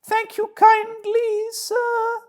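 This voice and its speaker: Man putting on a feeble falsetto old washerwoman. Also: monotone, extremely slow, exaggerated voice. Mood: feeble, meek. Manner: feeble, sweetly polite. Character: sly toad posing as meek, polite old washerwoman.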